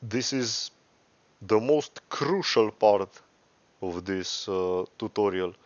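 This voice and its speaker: A man giving a casual, unscripted software tutorial in a rambling way, swearing and correcting himself as he goes. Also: fairly low pitch, slow, dry recording, muffled recording. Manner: rambling, profane, self-correcting. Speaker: tutorial presenter.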